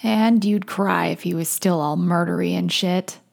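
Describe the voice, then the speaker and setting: Woman voicing a gravelly, slangy, flustered guy. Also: lowish voice, fairly even intonation, a little slow, darkish tone, exaggerated voice. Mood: teasing. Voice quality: gravelly, creaky. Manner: slangy, bro-ish, hesitant. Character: flustered young man, slangy, blunt sidekick lord. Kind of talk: character voice in fan fiction audiobook.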